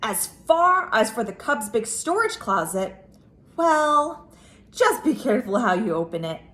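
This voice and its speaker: A woman's voice: a little high, sing-song, unhurried, pushed and bright. A woman reading a children's picture book aloud as a storyteller, expressively, drawing out key words for emphasis.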